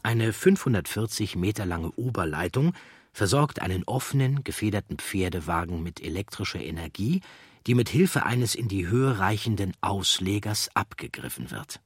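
A man reads scripted documentary narration in a measured, factual way. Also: on the low side, big pitch swings, husky, dry recording, clean studio sound.

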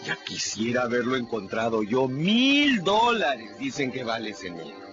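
A man's voice: medium pitch, huge pitch swings, measured pace. An actor playing a blunt, matter-of-fact man, part of a radio drama. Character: plain-spoken man, a slave catcher's hand, blunt. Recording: muffled radio audio with music.

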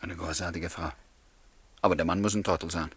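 Husky, low-voiced gallant writer. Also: male voice, steady tone, brisk, a bit bright, dry recording, heavily acted. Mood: opinionated, warm. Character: earnest, smitten male short-story writer and lodger, gallant. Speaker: radio drama actor. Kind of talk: German radio play dialogue and narration.

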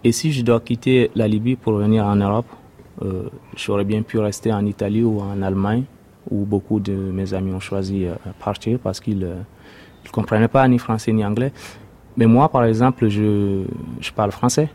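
A young man from Sudan, native Arabic speaker, giving a hesitant personal testimony with many pauses. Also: fairly low pitch, mellow. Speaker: interviewee, refugee.

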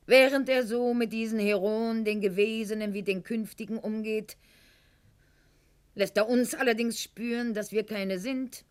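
An actress playing a proud, wounded, sharp-tongued aristocratic lady of the Weimar court in a radio play monologue, articulate and declamatory, with dramatic pauses. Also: on the high side, fairly even intonation, natural voice. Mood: haughty indignation. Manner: haughty, articulate, declamatory, with dramatic pauses and hushed line endings.

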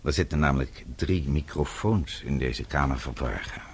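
An actor playing a vengeful middle-aged father in a radio play, speaking in a measured, deliberate and formal way. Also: very deep voice, slightly rough, heavily acted. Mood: calm triumph. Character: vengeful father, composed, coldly methodical older man.

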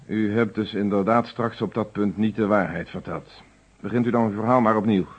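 Stern, insistent police inspector, fuzzy recording. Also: male voice, lowish voice, steady tone, normal speed, breathy edge. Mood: stern, pressing. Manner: insistent, formal, authoritative. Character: stern, probing police inspector leading an interrogation. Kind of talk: radio play acting. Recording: dull, fuzzy old radio recording.

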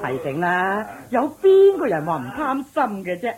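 A woman's voice: medium pitch, huge pitch swings, moderate pace, crowd noise. A woman voicing a smug, wealthy male master of the house in a radio drama, chuckling and ordering his servants about. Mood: amused. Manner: smug, self-satisfied, chuckling, commanding servants.

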